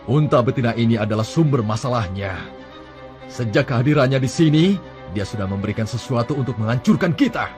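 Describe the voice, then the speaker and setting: A scheming cartoon chieftain, voiced by a man in a middle register, sly and calculating as he plots. His words are slow and wheedling, with clear music underneath. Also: medium pitch, lively intonation, darkish tone, close to an everyday voice. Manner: sly, plotting, ends with an evil laugh. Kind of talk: dubbed cartoon acting.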